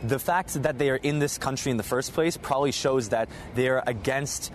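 A man's voice: medium pitch, moderate pace. Young male student interviewee, earnest, outdoor street noise. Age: young adult.

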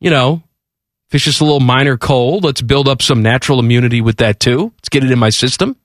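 A man's voice: mid-range voice, fast, no echo. A man with a stuffed-up head cold, hosting a sports radio show from a studio microphone with wry, playful banter.

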